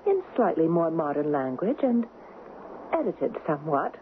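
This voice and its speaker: A woman's voice: low-pitched, sing-song, normal speed, slight background noise. Earnest young woman, tinny radio drama. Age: young adult. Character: earnest romantic young woman, ardent and steadfast in love. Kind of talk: 1970s radio drama acting. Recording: muffled phone-like 1970s radio, music behind.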